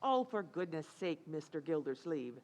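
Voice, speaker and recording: A woman's voice: deep voice, big pitch swings, moderate pace. A woman playing a patient, dryly teasing, folksy wife in an old-time radio comedy. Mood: placating. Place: community playhouse stage, live recreation.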